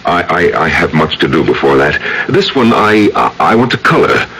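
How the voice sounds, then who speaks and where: A man's voice: extremely low pitch, sing-song, creaky, bright, forceful tone, slight background noise, heavily acted, poor audio. An actor in an old-time radio drama playing a brooding, vengeful man who speaks from his grave with theatrical solemnity. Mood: gentle, earnest. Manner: slow, solemn, theatrical declamation. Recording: old radio broadcast with music underneath.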